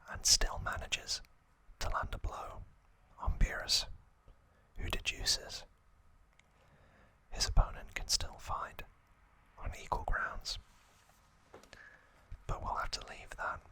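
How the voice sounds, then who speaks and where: A man whispers an ASMR recap in a breathy, unvoiced voice. The delivery is very slow and gentle, with deliberate pauses between short phrases. The whisper has a crisp, bright edge. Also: dry recording. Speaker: ASMR channel host.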